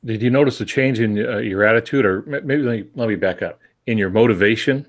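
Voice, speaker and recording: A middle-aged or older man co-hosting a podcast, explaining things in a measured, reflective, conversational way. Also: on the low side. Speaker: podcast co-host and experienced writer.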